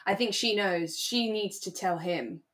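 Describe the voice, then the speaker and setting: A British woman speaks in a medium-pitched voice as a podcast co-host. Her delivery is conversational and informal, like a chat between two fans. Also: unhurried, a bit bright. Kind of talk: casual chat about a radio drama.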